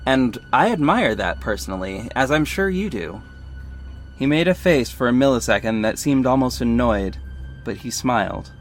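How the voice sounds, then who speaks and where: A man plays a quietly principled, guarded narrator-hero of an audio drama. His voice sits at an average pitch, wry and watchful as he tells his story. Faint scary music runs underneath. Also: lively intonation, normal speed, close to an everyday voice. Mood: measured, wary. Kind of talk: first-person audio drama narration and dialogue.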